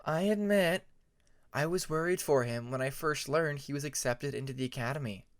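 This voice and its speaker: A man voicing a gentle, courteous older female dragon diplomat in an audiobook. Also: fairly high pitch, normal speed, no echo, clean studio sound, lightly acted. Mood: gracious, tired.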